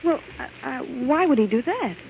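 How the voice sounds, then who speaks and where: Anxious young sweetheart, muffled old radio. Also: female voice, high voice, lively intonation, normal speed, vocal fry. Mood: shocked, puzzled. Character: devoted, anxious young bride-to-be, trusting sweetheart. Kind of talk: 1950s radio crime drama acting. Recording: muffled, noisy vintage radio recording.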